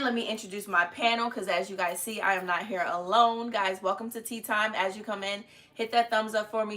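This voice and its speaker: A woman's voice: mid-range voice, bright, forceful tone. A young woman hosting a family live stream in a chatty, familiar, slangy way from a living room. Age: young adult. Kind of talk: casual live-stream chat. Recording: noticeable room noise.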